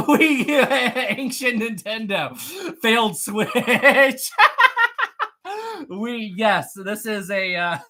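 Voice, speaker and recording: A man in his thirties streaming live, chatting casually with viewers and reading their comments, playful and teasing, laughing often and clearing his throat now and then. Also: high voice, pushed and bright. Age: 30s.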